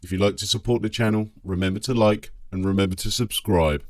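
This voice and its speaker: A man narrating a scripted fantasy audiobook dramatically, with measured, emphatic phrasing. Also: fairly low pitch, fairly even intonation, a little hiss or hum.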